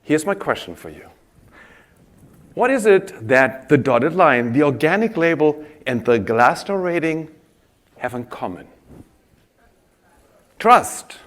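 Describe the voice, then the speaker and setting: A man giving a conference presentation on stage, an animated storyteller who draws the audience in. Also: fairly high pitch, slow pace, long pauses. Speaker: conference speaker. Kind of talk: keynote-style presentation.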